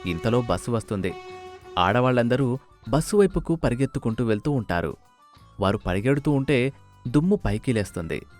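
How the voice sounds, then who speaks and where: A man's voice: medium pitch, animated, fairly quick, pauses often, darkish tone. A man narrating a scripted moral story as its storyteller. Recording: music under the voice.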